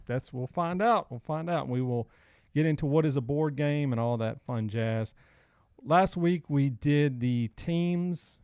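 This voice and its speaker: A man's voice: a little high, unhurried, darkish tone, clean tone, dry recording. Informal man in his forties, dry close sound. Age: 40s. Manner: informal, slangy, rambling. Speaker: podcast co-host. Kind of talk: casual banter and storytelling.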